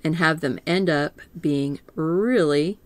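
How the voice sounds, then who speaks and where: A middle-aged woman teaching a live painting lesson in a calm, soothing voice, gently thinking aloud as she works. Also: sits low, no room sound. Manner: slow, gentle, thinking aloud with small laughs. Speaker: art teacher.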